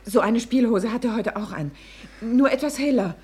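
A woman's voice: on the high side, moderate pace, darkish tone, close to an everyday voice. An actress playing an anxious, brittle mother in a German radio play, nervous and defensive. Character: anxious, brittle mother of missing small boy.

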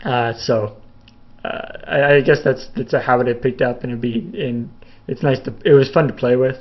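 A man's voice: mid-range voice, steady tone, moderate pace. Young canadian guy rambling thru viewer q's, lots of uhs, kinda muffled sound. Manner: rambling, many fillers and restarts, laughs a little. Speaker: video host answering viewer questions. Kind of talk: casual live Q&A chat.

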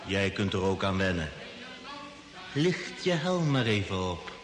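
A man playing a mysterious unseen voice in an old radio drama, calm, coaxing and commanding in a measured, insinuating way. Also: sits low. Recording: reverberant old radio play, music behind.

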